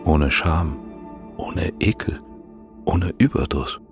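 Male narrator, extremely low voice, creaky, atmospheric radio-play narration, clear music bed. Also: slow pace. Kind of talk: slow, atmospheric scripted radio-drama narration.

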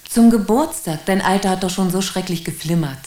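A woman's voice: lowish voice, fairly quick, warm tone, roomy sound, close to an everyday voice. A woman acting an overworked, breezy mother in a German radio play, talking in a chatty way. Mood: pleased, breezy.

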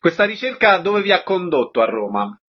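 A man's voice: high voice, very animated, a little fast, breathy edge. A man working as a radio interviewer, putting questions and prompts in a conversational way. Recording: dull, lo-fi interview audio.

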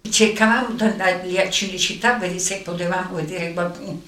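A woman's voice: on the low side, steady tone, a little fast, a bit bright, echoey room, far from the mic. An older woman giving spontaneous personal testimony as a witness.

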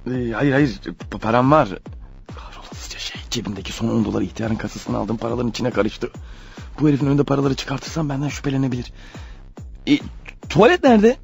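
An actor playing a panicky, guilt-ridden clerk turned killer in a radio play, stammering and talking nervously to himself. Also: male voice, medium pitch, animated, fairly quick, gravelly, faint music behind. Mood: uneasy, furtive. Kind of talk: radio theatre acting.

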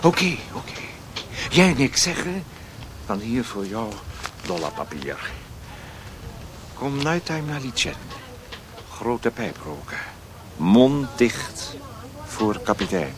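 An actor playing a sly stoker and opium smuggler in a radio play, speaking broken language. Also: male voice, medium pitch, sing-song, slow, long pauses, a crowd in the background. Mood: sly bargaining. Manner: broken foreigner's speech. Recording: noisy old radio play recording.

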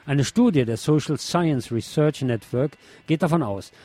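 A man's voice: fairly high pitch, lively intonation, normal speed, dark tone, no room sound. A man reads scripted political commentary as a radio presenter. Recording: music playing behind the voice. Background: music.

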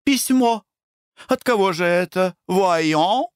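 A man voicing a proud young woman who speaks high and emphatically. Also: very animated, slow pace, fairly bright tone, clear, resonant tone, no room sound, studio quality, full character voice. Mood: coy.

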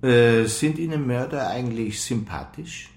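An actor playing a sceptical police superior in a radio play, official and deliberate. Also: male voice, mid-range voice, expressive, darkish tone, roomy sound. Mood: probing, reproachful. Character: sceptical, measured male police superior, quietly probing. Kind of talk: radio drama acting.

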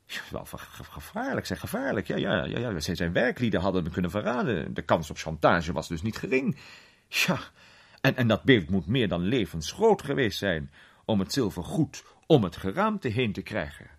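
A man voicing a curious, impressed club gentleman who exclaims and stumbles over his words in a story reading. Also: lowish voice, wildly swooping pitch, moderate pace, gravelly, breathy edge, exaggerated voice. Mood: amazed. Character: Armstrong, curious, impressed club listener full of questions.

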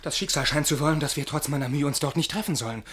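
A man's voice: fairly high pitch, fast, a bit bright, a little hiss or hum, close to an everyday voice. An actor playing a naive, boastful young male lover, effusively declaiming rhymed verse in a theatrical radio play style. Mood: eager, lamenting.

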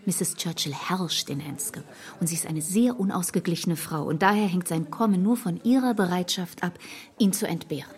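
A kindly motherly woman in a radio play , played by a woman with a somewhat low voice. She speaks gently and doubtfully, sharing her fears. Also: normal speed, close to an everyday voice. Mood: confiding. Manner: gentle, confiding.

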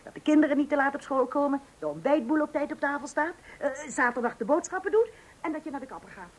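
An actress playing an organised, affectionate pregnant wife patiently giving instructions in a radio comedy. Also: female voice, sits high, very animated, fast. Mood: patient, instructing. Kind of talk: Dutch radio comedy drama acting. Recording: old radio play recording.

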